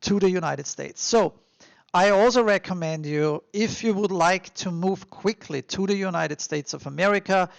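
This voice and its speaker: A man's voice: high voice, normal speed, creaky, dry recording. A man with an Austrian accent hosting a podcast, giving motivational advice in an emphatic, encouraging, informal way. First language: German. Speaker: podcast and YouTube host. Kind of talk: motivational advice monologue to listeners.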